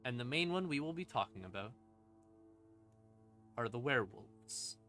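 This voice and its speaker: A man streaming an informal lore explainer as a storyteller, with a theatrical, halting delivery and dramatic pauses. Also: mid-range voice, expressive, sharp tone. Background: eerie ambient music.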